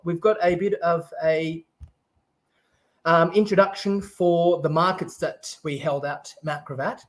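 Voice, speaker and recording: A man's voice: fairly high pitch, fairly even intonation, a little slow. A man raised in Japan, casually hosting an online festival stream and explaining things step by step in an upbeat way.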